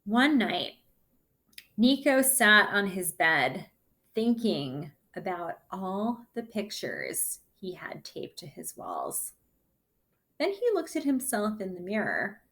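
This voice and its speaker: A woman reads a children's picture book aloud at a very unhurried pace. Her pitch rises and falls in a lively way, and her delivery is deliberate and explanatory. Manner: deliberate, explains characters' feelings to listeners. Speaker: story reader.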